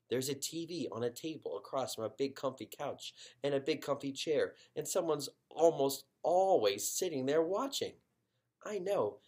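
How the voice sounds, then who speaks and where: A man, a teacher, reading a children's chapter book aloud in an expressive storytelling way. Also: mid-range voice.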